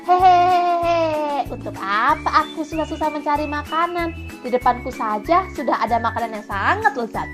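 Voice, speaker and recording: A woman's voice: high-pitched, animated, normal speed, over music. A woman voicing a sly, greedy monkey in a children's tale, wheedling with false friendliness. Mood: gloating.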